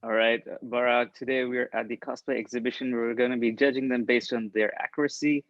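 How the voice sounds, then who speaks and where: A man's voice: medium pitch, flat delivery, fairly quick, no echo, lo-fi sound. Matter-of-fact male judge. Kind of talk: explaining criteria.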